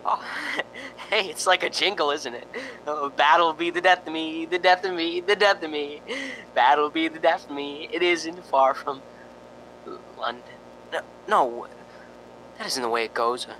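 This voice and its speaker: Man acting a jittery, bright-voiced radio reporter. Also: on the high side, unhurried, a little hiss or hum, poor audio. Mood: wistful, nervous. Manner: nervous, stammering, rambling with frequent sighing ohs. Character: jittery, lonely radio reporter broadcasting from a haunted house. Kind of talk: spooky radio drama acting.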